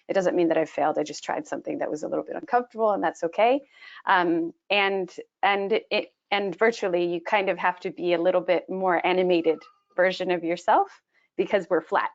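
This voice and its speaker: An American woman presenting in an online webinar, giving informal, encouraging advice in hesitant, halting phrases. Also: on the low side. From United States, born in Colombia. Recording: dull, lo-fi online call audio.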